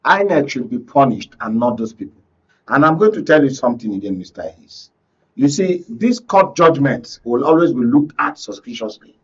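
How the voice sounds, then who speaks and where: A man's voice: medium pitch, big pitch swings, moderate pace, husky. A man with a Nigerian accent giving political commentary as a media analyst on a studio panel. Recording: muffled, off-mic studio audio.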